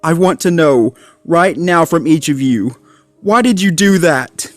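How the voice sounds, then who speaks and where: A man voicing a clumsy young male dalmatian pup who shouts in hurt and anger at his friends. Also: on the high side, lively intonation, a little slow, soft background music, pitched above the performer's own voice. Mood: hurt pleading. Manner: shouting through tears, then choked and pleading.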